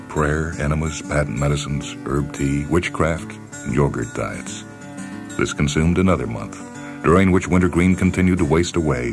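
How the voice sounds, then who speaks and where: A man reading a short story as scripted radio narration, deliberate and wry, with theatrical phrasing and dramatic pauses. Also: extremely low pitch. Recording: music bed under narration. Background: background music with guitar.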